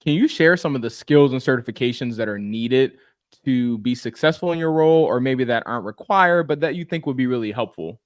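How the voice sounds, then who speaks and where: A man's voice: medium pitch, animated, a little fast, dry recording, clean studio sound. A man hosting a casual interview podcast, responding in an affirming, encouraging way and posing questions.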